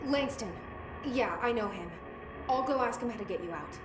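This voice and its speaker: An actress playing a determined, level-headed young heroine in a video game, speaking in a direct way. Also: female voice, a little high, expressive, moderate pace, natural voice. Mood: concerned, steady. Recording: echoey space with music behind.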